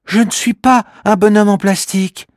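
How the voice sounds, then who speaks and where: A man voicing a little green living creature in a children's storybook, talking in a sincere, gently indignant way. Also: high voice, steady tone, moderate pace, no room sound, studio quality, pitched above the performer's own voice, natural voice.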